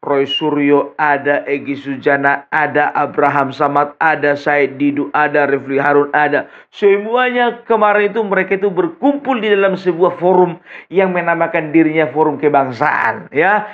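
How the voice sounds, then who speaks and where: A man's voice: a little high, normal speed. A man from hosting a political commentary monologue, mocking and laughing often as he talks. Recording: muffled audio with steady background noise.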